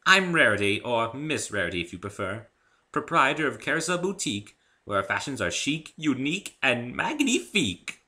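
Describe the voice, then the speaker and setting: Man playing posh, gushing lady unicorn designer for a story reading: raised pitch, swooping, theatrical drawn-out emphasis. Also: very animated, sharp tone. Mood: proud, dreamy. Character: refined, theatrical female unicorn fashion designer, gushing and gossipy.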